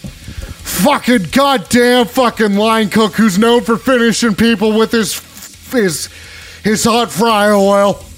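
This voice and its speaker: A man's voice: high-pitched, takes it slowly, faint music behind. A man voicing a manic, swearing wrestling radio host who announces fighters with bluster. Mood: hyped. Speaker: show host.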